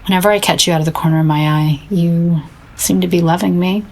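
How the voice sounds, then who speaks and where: An actress playing a self-doubting, guilt-ridden woman painter in an audio drama, speaking tersely in a husky voice. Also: lowish voice, steady tone, a little fast, a little mellow, poor audio. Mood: wry.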